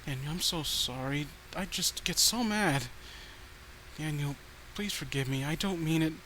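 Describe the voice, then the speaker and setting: A man's voice: a little high, measured pace, pushed and bright, rough recording. A man voicing an unhinged, possessive girlfriend who is volatile, pleading and cloying, in a horror story reading. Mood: desperate pleading. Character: unhinged clingy murderous girlfriend, possessive, swinging from rage to sweetness. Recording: noticeable background noise.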